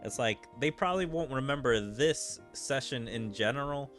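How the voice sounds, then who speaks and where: A man's voice: mid-range voice, expressive, moderate pace, a bit bright, faint music behind. A man reading game story aloud and adding casual, rambling commentary as a streamer. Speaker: gaming streamer.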